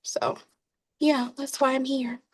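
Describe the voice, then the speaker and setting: Slow-talking woman in her twenties. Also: high voice, little pitch movement, slight background noise. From Houston, USA. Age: 20s. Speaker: participant. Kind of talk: group introduction on a video call.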